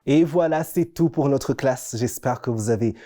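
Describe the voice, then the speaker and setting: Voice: medium pitch, normal speed, mellow, soft background music. A presenter giving a scripted, explanatory lesson in a didactic way, stressing key words. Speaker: presenter of an educational French-language TV segment. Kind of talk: scripted teaching narration, explanatory.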